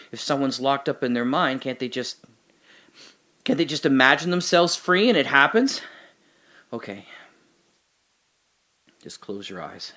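An actor playing a confused amnesiac man trapped alone in a hole, rambling and swinging between giddy laughter and hushed muttering. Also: medium pitch. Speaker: audio drama lead actor. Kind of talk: solo audio drama monologue.

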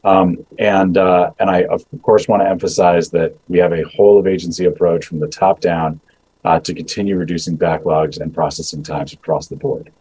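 Low, dark-voiced government official. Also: male voice, fairly even intonation, husky, poor audio. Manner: measured, many ums and uhs, restarts. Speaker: immigration agency official. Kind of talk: prepared remarks and Q&A answers. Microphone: video call webcam.

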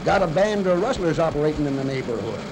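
High-voiced old rancher uncle. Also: male voice, very animated, fast. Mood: cautioning. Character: protective old rancher uncle in a radio western. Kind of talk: 1930s radio acting. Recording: noisy 1930s film soundtrack.

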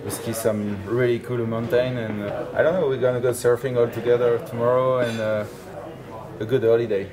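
Young Frenchman, halting accented English, crowd murmur. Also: medium pitch. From France. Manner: halting, relaxed, short answers. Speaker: pro skier. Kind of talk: casual chat. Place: lodge room with trophies, indoors.

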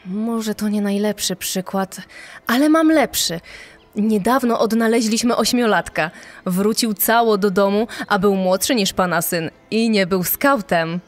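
An actress playing a patient, hopeful search official who speaks in a consoling, measured way in a dramatized re-enactment. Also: female voice, medium pitch, natural voice. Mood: patient, conceding.

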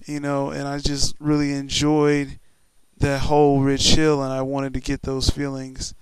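A man's voice: medium pitch, monotone, unhurried, smooth, no echo. A man talking casually and enthusiastically in a rambling, interview-like way about his creative work. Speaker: music video maker. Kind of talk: casual interview-style talk.

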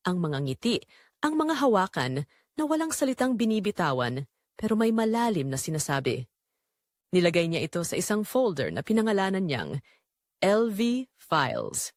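A woman with a Filipino accent narrating a scripted drama in measured, suspenseful short phrases. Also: mid-range voice, no room sound, clean studio sound.

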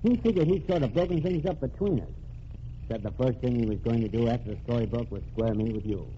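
An actor playing a cocky undercover ex-reporter in a 1940s radio drama, cool and wisecracking. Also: male voice, mid-range voice, fast talker, lightly acted. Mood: explaining. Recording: muffled old radio transcription with hiss.

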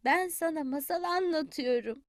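Woman voicing a soft old grandmother hen. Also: high voice, moderate pace, no echo, clean studio sound, close to an everyday voice. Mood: soothing.

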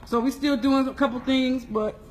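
A woman with a Southern US accent, casually hyping a show on a live stream, excitable and often repeating herself. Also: high voice, normal speed, poor audio. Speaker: playwright and producer hosting a live video. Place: theater stage and auditorium. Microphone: handheld phone at arm's length. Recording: phone live stream with band music behind. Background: band music.

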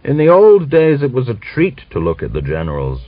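A man's voice: on the low side, big pitch swings, no echo, voice pushed up, heavily acted. A man doing a bitter ancient old man. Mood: scolding. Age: older. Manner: scolding, preachy, slow scripture reading. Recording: dull dry recording.

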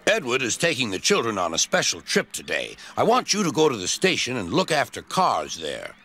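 A man's voice: mid-range voice, huge pitch swings, brisk, pushed and bright, full character voice. A man voicing a businesslike grown male quarry master in a storybook. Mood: brisk. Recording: background music.

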